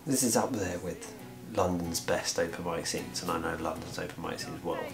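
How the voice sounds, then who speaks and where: A man's voice: sits low, normal speed, vocal fry, music in the background. A man in his twenties talking casually to camera as a vlogger, in a small room. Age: 20s. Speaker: vlogger and musician. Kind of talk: casual vlog talk.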